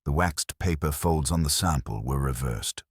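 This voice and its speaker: A man's voice: rumbling low, moderate pace, husky, dry recording, clean studio sound. A man reading a detective story aloud as a scripted audiobook narration.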